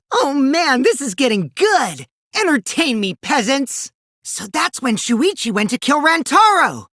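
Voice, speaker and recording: Game role: mischievous teenage boy, taunting, theatrical liar, wildly lively pitch, dry close sound. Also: slow, no room sound, heavily acted. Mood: gleeful taunting. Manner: theatrical, teasing, swings from sly to gleeful. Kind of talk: animated video game voice acting.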